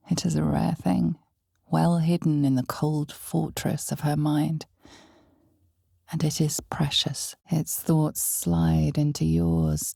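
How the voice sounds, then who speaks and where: A woman voicing a grave, ominous narrator of a dark fantasy video game, speaking in measured, deliberate dramatic narration with a breathy, husky voice that often drops toward a whisper. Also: sits low, no echo, studio quality, heavily acted. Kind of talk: scripted dramatic second-person fantasy narration.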